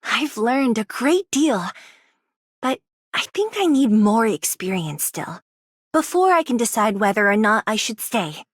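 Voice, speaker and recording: A woman's voice: a little high, sing-song, unhurried, no echo, studio quality. A woman voicing an earnest, principled young operator in a video game, formal and thoughtful. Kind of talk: English video game character voice lines.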